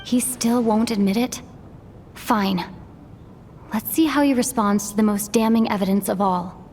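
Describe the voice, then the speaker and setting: A woman playing an earnest young female sleuth in a game dub, reasoning deliberately and with determination, step by step. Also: medium pitch, a little mellow, slight background noise, close to an everyday voice. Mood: determined, reasoning. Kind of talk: dramatic game dub acting.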